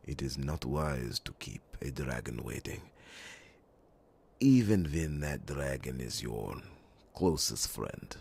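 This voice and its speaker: Casting: grave warrior in ASMR fantasy roleplay, bottomless bass register, heavily breathy and rasping, deliberate delivery. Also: male voice, big pitch swings, a little mellow, full character voice. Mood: gently encouraging. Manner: solemn, deliberate, with dramatic pauses.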